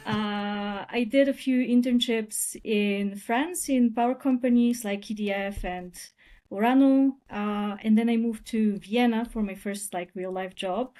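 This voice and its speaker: Higher-voiced woman in conversation. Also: fairly even intonation, measured pace, warm tone. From Bulgaria. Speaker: podcast guest, energy policy analyst. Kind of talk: casual interview conversation.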